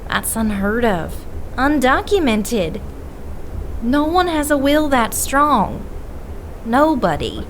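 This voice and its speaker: A woman's voice: sits high, very animated, slow, long pauses, slight background noise, full character voice. A woman playing a stern female investigator who knows supernatural lore, explaining firmly in an audio drama. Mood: lecturing. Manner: lecturing, firm. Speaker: occult expert investigator.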